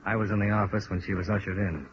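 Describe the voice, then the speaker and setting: Man playing a low-voiced hard-boiled radio investigator. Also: flat delivery, quick pace, natural voice. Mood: grim, matter-of-fact. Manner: clipped, matter-of-fact, hard-boiled. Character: steady, tough investigator hero who narrates his case. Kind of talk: 1950s radio detective drama, dialogue and narration. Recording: noisy, dull old radio recording.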